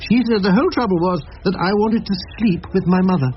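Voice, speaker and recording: An actor playing a fussy, anxious middle-aged barrister with an upper-class English accent in a radio drama, pompous and earnest. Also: male voice, high voice, fast, close to an everyday voice. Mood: flustered. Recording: dull, lo-fi recording with faint noise.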